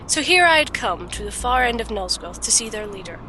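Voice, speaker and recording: An actress playing an earnest, determined alchemist adventurer in a fantasy audio drama, speaking in a measured, serious way. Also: female voice, on the high side, lively intonation, noisy background, close to an everyday voice. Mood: grave narration. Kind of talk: audio drama narration and dialogue. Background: music.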